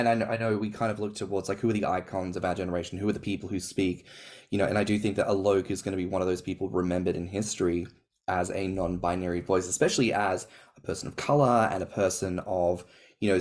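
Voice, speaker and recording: A man's voice: low-pitched, darkish tone. A man in a casual podcast chat, talking expressively with big swings and emphatic stresses. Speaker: podcast co-host or guest.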